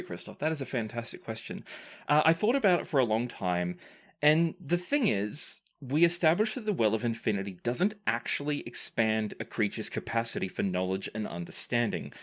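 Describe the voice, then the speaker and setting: A man hosting a podcast as game master, reading and answering listener questions in measured, deliberate phrasing. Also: mid-range voice.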